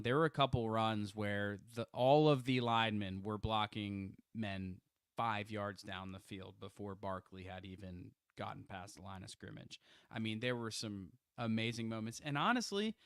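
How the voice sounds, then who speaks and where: A young man co-hosting a sports podcast, talking in a casual, rambling way with frequent restarts and bursts of excitement. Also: on the low side, moderate pace, clean tone, dry recording, studio quality. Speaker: podcast co-host.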